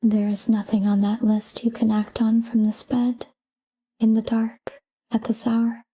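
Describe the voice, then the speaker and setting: A woman guiding a sleep meditation in a calm, hushed, breathy whisper, soothing and soft. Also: on the high side, measured pace.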